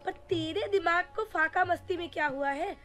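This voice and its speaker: बेहद तीखी आवाज़ वाली बूढ़ी माँ. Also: female voice, very high-pitched, normal speed, fairly bright tone, soft background music. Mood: scolding. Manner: wailing, pleading, melodramatic. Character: shrill, distraught old mother, scolding and wailing. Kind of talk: theatrical radio play acting.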